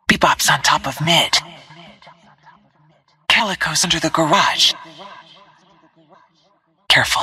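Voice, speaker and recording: A woman voicing a stealthy female assassin in a video game, giving terse, controlled callouts in a hushed, breathy, husky whisper. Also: very deep voice, heavily acted.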